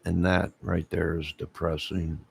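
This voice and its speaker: A middle-aged man with a very low, deeply gravelly voice, worn hoarse from singing. He drawls slowly and rambles loosely through a late-night livestream, greeting his viewers one at a time. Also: flat delivery. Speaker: livestream host. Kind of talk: late-night casual live chat.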